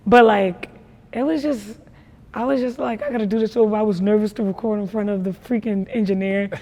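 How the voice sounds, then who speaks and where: A young woman with a naturally raspy voice talks about her life in a relaxed interview, her stories emphatic and full of slang. Her voice rings with a long reverb, as if she is in a big hall. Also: medium pitch, fairly quick. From New York. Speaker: rapper guest. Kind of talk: casual interview talk.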